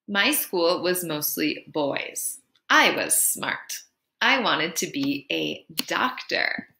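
A young woman teaches children by reading a storybook aloud. She speaks slowly with a bright, clear voice that sits a little low, and she pauses to ask her listeners gentle questions. The webcam recording carries the echo of a small room. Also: lively intonation. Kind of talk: children's read-aloud lesson. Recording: echoey room.

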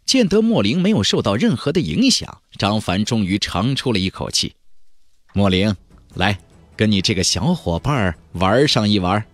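A man narrating a fantasy audiobook as scripted storytelling, with an expressive, emphatic and dramatic delivery. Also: mid-range voice, husky, dry recording, studio quality.

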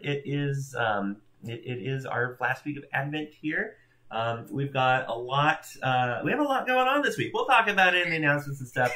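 A man in his thirties warmly rambles through greetings to online churchgoers, laughing between names. His voice is bright and a little high. He speaks to a webcam from a room at home. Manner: chatty, upbeat, greeting viewers by name with laughs. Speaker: church livestream host. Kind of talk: casual welcome chat. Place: home room in front of a backdrop.

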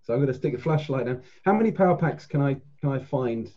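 A man's voice: medium pitch, animated, quick pace. A man chatting casually as a player in a tabletop role-playing game over a video call. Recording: dull, muffled online call audio.

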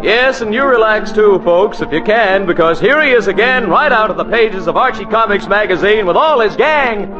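A man's voice: sits high, animated, moderate pace, hardly any pauses, over music. A man announcing a scripted 1940s radio show. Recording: dull vintage radio broadcast.

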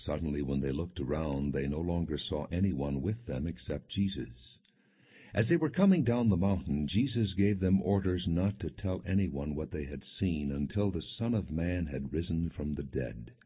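A man reading scripture as an audiobook narrator, in a solemn, measured, scripted storytelling style. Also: a bass voice, expressive, husky. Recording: muffled, narrow phone-like audio.

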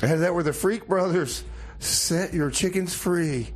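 A man playing an excitable radio host in comedic banter, casual and halting, repeating himself as he goes. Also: sits high, slight background noise. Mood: casual, amused. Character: excitable male radio host, worried partner in shenanigans.

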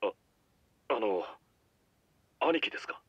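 An actor playing an anxious, loyal younger yakuza underling speaking earnestly over a telephone in a game cutscene. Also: male voice, on the low side, lively intonation, slow pace, husky, no room sound, exaggerated voice. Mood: anxious, urgent. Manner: earnest, deferential, urgent. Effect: telephone filter. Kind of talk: Japanese game cutscene acting. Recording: muffled phone line, faint music.

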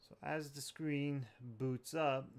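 A man's voice: on the high side, flat delivery, takes it slowly, creaky, smooth. A man hosting a tech tutorial, talking viewers through steps in an informal, plain-spoken way. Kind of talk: casual step-by-step tutorial narration.

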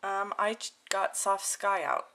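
A woman's voice: mid-range voice, steady tone, measured pace, bright, forceful tone. A woman casually narrating a hands-on craft demonstration as its host, with informal, chatty phrasing. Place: indoor craft desk. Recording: clear background noise with clicking.

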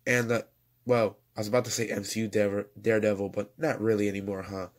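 A man's voice: fairly low pitch, level tone, fast. Young man losing his voice, chatty. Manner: rambling, chatty, self-correcting. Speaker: toy reviewer. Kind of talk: casual toy review voice-over.

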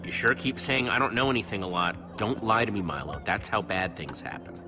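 An actor playing a plucky young adventurer hero in a video game, casual and direct. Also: male voice, on the low side, expressive, brisk, lightly acted. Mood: stern. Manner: casual, questioning. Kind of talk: animated game voice acting. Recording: loud music behind the dialogue.

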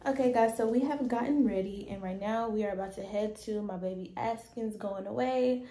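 A woman's voice: medium pitch, brisk, warm tone. A woman in her twenties chatting casually as a vlogger into a phone held at arm's length. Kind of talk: casual vlog chat. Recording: clear background noise on phone audio.

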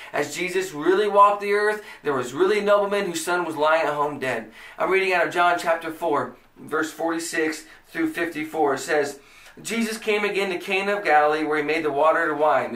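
A man's voice: on the high side, pushed and bright, roomy sound, distant mic, poor audio. A man in his thirties with an American accent, preaching a podcast sermon with earnest emphasis. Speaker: pastor. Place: small indoor room before a church banner.

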